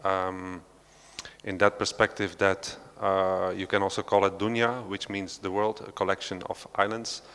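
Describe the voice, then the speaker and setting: A man's voice: sits low, flat delivery, measured pace, fairly bright tone, clean tone. A man from Belgium speaking on a panel at an art centre, unscripted and hesitant, with many fillers and restarts. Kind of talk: unscripted talk at a panel discussion. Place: large hall of an art centre. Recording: long hall reverb.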